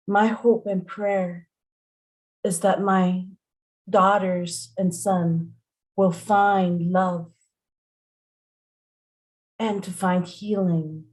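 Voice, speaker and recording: A middle-aged woman, speaking earnestly and deliberately as a poet at an open mic. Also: lowish voice, a little mellow, poor audio. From Singapore. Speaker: poet and performer. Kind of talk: spoken introduction to open mic poetry. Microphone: online video call at home.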